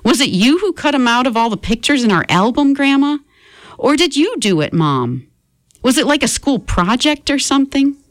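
A woman's voice: high-pitched, sing-song, moderate pace, no room sound, pitched above the performer's own voice. A woman voicing an anxious young boy who asks urgent, pleading questions.

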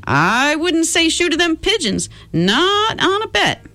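A woman's voice: extremely high pitch, very animated, takes it slowly, sharp tone, no echo, faint music behind, voice pushed up, full character voice. A woman voicing a wary, stubborn little workman in a story, with a folksy, colloquial way of talking.

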